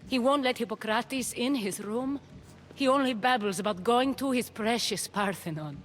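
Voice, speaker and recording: A woman's voice: on the high side, normal speed, lightly acted. An actress voicing a weary, anxious Athenian noblewoman in a video game, sounding tense and worried. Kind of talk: video game cutscene acting.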